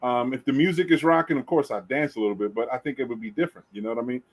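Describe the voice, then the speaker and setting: A middle-aged man hosting a podcast, chatting casually and telling lively stories with casual swearing. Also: mid-range voice, very fast. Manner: animated storyteller, swears casually. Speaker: podcast host. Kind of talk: casual chat.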